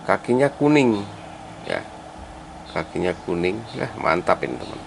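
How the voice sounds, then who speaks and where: A man gives an informal hands-on tutorial step by step, addressing viewers as friends and halting as he works. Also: low-pitched, sing-song. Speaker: electronics repair hobbyist presenting a tutorial. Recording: clearly noisy amateur recording.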